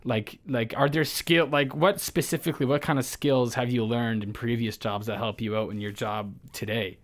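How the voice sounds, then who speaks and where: A man with a medium-pitched voice acts as interviewer. He talks informally and a little hesitantly, rephrasing his questions in the style of a casual chat. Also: steady tone, moderate pace.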